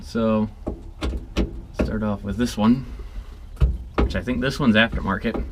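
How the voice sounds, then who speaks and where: A man's voice: fairly low pitch, fairly even intonation, unhurried, noisy background. A man casually narrating a hands-on vehicle project, thinking aloud as he works in an informal way. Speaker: DIY vehicle restoration vlogger.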